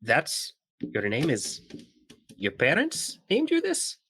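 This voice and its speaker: A man playing a formal, halting pilot's mind. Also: on the high side, huge pitch swings, a bit bright, breathy edge, full character voice. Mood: bemused, courteous. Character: courtly adult male pilot's mind trapped in a box. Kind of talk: improvised actual-play podcast roleplay.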